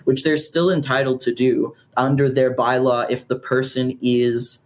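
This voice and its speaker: A man's voice: mid-range voice, level tone, measured pace. Rambling, swearing man organizer. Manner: rambling, heavy use of "like", swears freely. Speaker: community organizer guest. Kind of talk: casual podcast interview.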